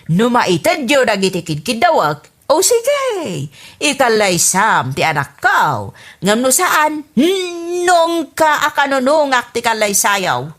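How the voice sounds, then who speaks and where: A woman's voice: very animated, slow pace, fairly bright tone. A woman with an Ilocano accent acting in a radio comedy drama, scolding and demanding. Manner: scolding and demanding, pitch swooping up when indignant. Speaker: voice actress in an audio comedy drama. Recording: radio drama recording with some faint reverb.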